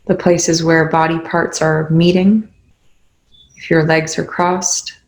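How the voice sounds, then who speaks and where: A meditation guide leading a guided meditation in gentle, instructional phrases with pauses between them. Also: mid-range voice, little pitch movement, rough recording.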